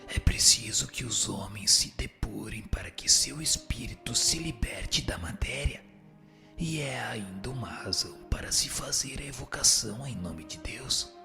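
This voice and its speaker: A man playing a solemn, wise spirit in a radio drama, answering in a measured, instructive way with a gravelly voice. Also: medium pitch, lively intonation. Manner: measured, didactic. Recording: music playing under the voice. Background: music.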